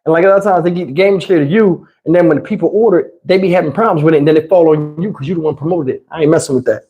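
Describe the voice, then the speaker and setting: A man's voice: fairly high pitch, rapid-fire, highs cut off. A man talks to his livestream viewers. He rambles in loose slang and swears freely as he goes. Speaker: livestream host. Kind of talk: casual livestream chat with viewers.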